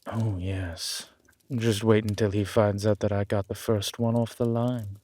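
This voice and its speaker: A man playing an urbane, centuries-old vampire in an audio roleplay, with formal, measured phrasing and dry condescension. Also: lowish voice, little pitch movement, mellow, natural voice. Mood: smug, fond. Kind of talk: scripted audio roleplay drama.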